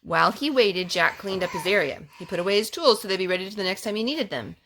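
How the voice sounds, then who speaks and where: A woman's voice: medium pitch, animated, quick pace, sharp tone, slight background noise. A woman reading aloud to young children at a storytime, speaking simply with asides to the little ones. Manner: simple, child-directed talk.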